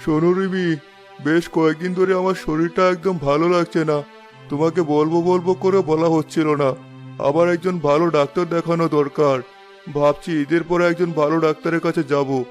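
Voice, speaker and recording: An actor playing a rich, ailing, repentant husband in a cartoon moral story, solemn and earnest. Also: male voice, fairly high pitch, flat delivery, moderate pace, natural voice. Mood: concerned. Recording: music behind the voice.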